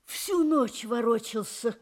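A woman's voice: on the high side, animated, slow pace, a little mellow. A woman narrating a scripted fairy tale as the storyteller of an audio play.

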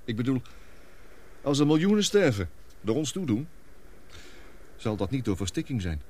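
An actor playing a gloomy, guilt-ridden scientist in a radio play, speaking gravely and deliberately. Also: male voice, mid-range voice, very animated, mellow. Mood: grim. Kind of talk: radio drama acting.

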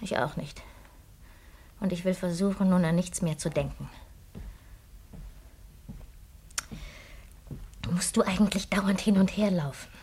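An actress in a German radio play playing an anguished, guilt-ridden newlywed widow, speaking haltingly and repeating words when agitated. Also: female voice, on the low side, mellow, slight background noise, lightly acted. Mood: brooding, pleading.

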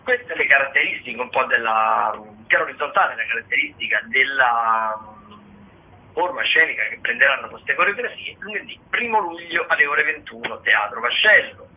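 A man hosting a radio show, talking through arts listings in a conversational, halting, digressive way, with frequent hesitations. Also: medium pitch, lively intonation, slightly rough. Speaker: radio theatre show host. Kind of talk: conversational radio listings of theatre and dance shows. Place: radio broadcast. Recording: slightly band-limited, phone-like radio sound.

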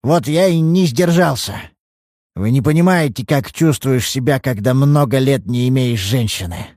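A shrill, quavering old man, as a male narrator puts him on, self-pitying and quick to anger. The voice sits well above the reader's own and swings widely in pitch. It sounds close and dry, with no room around it. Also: big pitch swings, darkish tone, no echo. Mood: whining.

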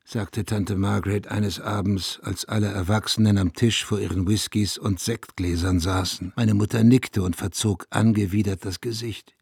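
An actor playing a pensive man remembering his small-town boyhood, with a gravelly, husky voice, in a radio play. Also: lowish voice, fairly even intonation, moderate pace, hardly any pauses, darkish tone. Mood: wry, reminiscent. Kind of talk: radio drama storytelling.

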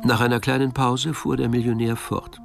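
A man's voice: medium pitch, flat delivery, moderate pace, creaky. A man narrating a radio drama in a scripted, literary storytelling style. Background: music.

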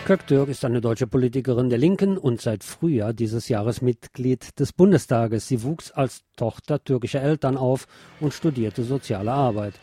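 A man's voice: mid-range voice, moderate pace, mellow, slightly rough. A man presenting radio news, reading reports aloud with brief asides.

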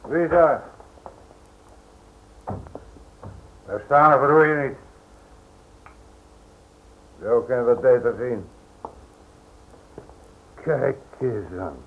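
A man's voice: medium pitch, slow, pauses often, slight background noise. An actor playing a coolly threatening, sardonic security man in a radio play, speaking without hurry. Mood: commanding. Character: menacing, coolly commanding security-firm heavy. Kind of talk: Dutch radio play acting. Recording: tinny, band-limited old radio recording.

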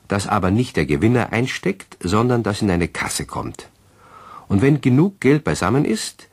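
A man's voice: sits low, slightly rough, no echo, exaggerated voice. A man narrating a children's radio play in scripted storytelling style.

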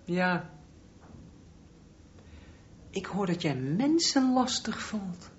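A man's voice: high voice, big pitch swings, very slow, full character voice. An actor playing a mocking, territorial young male bully in a radio drama. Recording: muffled, slightly noisy recording.

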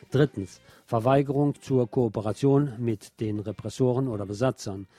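A man's voice: mid-range voice, dark tone, dry recording. A man presenting scripted radio news with wry asides.

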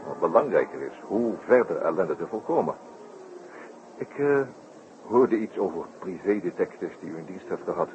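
Deep-voiced, composed gentleman detective, noisy old recording. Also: animated, normal speed. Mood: polite, probing. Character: composed, courteous male detective known as the Shadow. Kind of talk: 1960s Dutch radio drama acting. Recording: noisy muffled 1960s radio drama recording.

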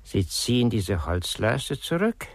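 An actor playing a laconic, unhurried working man in a radio crime drama. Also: lowish voice, sing-song, gravelly, dry recording, studio quality, exaggerated voice. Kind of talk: 1990s German radio crime drama acting.

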